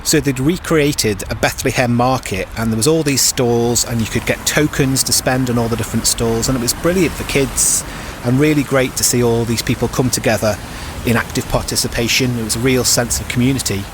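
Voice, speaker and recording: A man's voice: mid-range voice, fairly even intonation, a little fast. A man casually sharing a personal memory. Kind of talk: casual spoken reminiscence. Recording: loud outdoor street noise behind.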